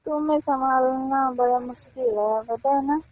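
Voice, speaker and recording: High-voiced woman on a tinny phone line. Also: normal speed.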